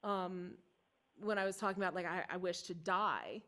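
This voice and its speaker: A young woman, a singing student on a video call, speaking softly and hesitantly with many fillers. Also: lowish voice, moderate pace, very noisy. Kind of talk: masterclass conversation.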